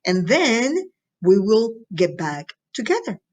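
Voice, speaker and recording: Female teacher for a recorded school lesson, unhurried delivery, medium pitch, encouraging and instructional, checks in with listeners. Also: sing-song. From Latin America. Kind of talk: recorded lesson addressed to students.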